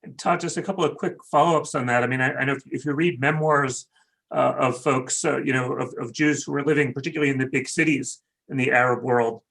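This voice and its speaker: Man moderating, gravelly and bright. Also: medium pitch, steady tone, quick pace, low-quality recording. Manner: hesitant questioning, many you-knows. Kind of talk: academic panel discussion.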